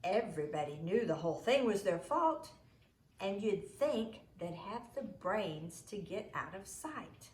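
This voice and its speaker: Expressive woman reading aloud, roomy echo. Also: mid-range voice, unhurried. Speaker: parent reading a story aloud. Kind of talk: expressive storybook read-aloud.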